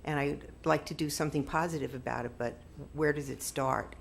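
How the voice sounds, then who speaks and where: Deep, gravelly woman at a podium. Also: fairly even intonation, brisk, slight background noise. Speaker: public commenter. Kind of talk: public comment.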